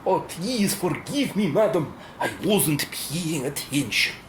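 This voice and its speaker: A woman's voice: deep voice, expressive, takes it slowly, a little hiss or hum. A woman playing a haughty, formal foreign scientist with a sinister streak, theatrical and grandiose, in a radio play. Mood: polite. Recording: roomy echo, music underneath.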